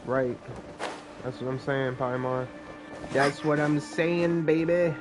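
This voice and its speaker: A male gaming streamer chats casually over game music. His voice is a bit high, and his delivery is playful and slangy. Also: steady tone, takes it slowly. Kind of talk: casual gameplay commentary.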